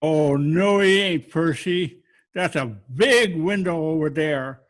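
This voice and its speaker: A man playing a gruff, stubborn working-class piano mover in an old-time radio comedy. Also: fairly high pitch, slow pace. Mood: insistent.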